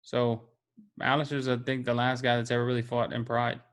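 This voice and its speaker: A relaxed man, chatting casually about fights as a co-host. His pitch sits in an ordinary middle range and he talks easily, often tagging "man" on the end. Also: fairly quick. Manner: laid-back, chatty, drawls "man". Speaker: podcast co-host. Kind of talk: casual sports chat.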